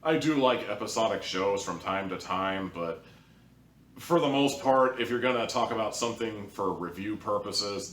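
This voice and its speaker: Low-voiced man, echoey and distant. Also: a bit bright, slight background noise. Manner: rambling, hedging, conversational. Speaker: audio drama reviewer. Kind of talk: casual review monologue. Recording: roomy echo, distant from the mic.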